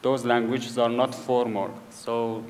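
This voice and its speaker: A man with a Kurdish accent talks slowly and haltingly in English, often pausing to find the right word and circling back. His voice sits at an ordinary mid pitch. It carries the long echo of a large hall at a live event. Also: flat delivery, a little hiss or hum. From Iranian Kurdistan. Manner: hesitant, searching for English words, repeats himself. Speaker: author guest. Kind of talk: conversational interview on stage.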